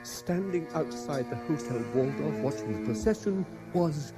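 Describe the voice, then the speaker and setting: An actor in a radio drama playing a haunted, obsessive French man, anxious and intense, with a hesitant, halting delivery. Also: a little high, music in the background, close to an everyday voice. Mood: brooding, obsessed. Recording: 1970s radio drama broadcast.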